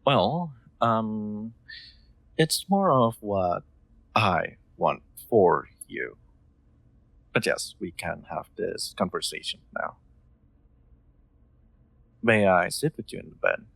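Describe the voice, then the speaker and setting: A man playing a kind, soft-spoken master in an intimate comfort roleplay, gently reassuring with formal, slightly hesitant phrasing. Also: fairly low pitch, animated, slow, gravelly, pauses often, no room sound, exaggerated voice. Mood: gentle, earnest.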